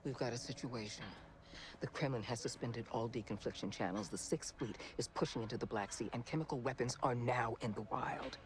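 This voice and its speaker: A man's voice: mid-range voice, a little fast, lightly acted. A man playing a stern military general, curt and authoritative.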